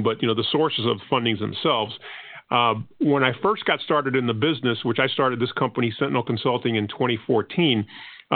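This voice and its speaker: A man from Panama whose first language is Spanish, a guest on an interview podcast, explaining his career in a measured way with frequent fillers and restarts. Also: mid-range voice, no echo. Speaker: guest, finance consultant. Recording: tinny phone-line audio.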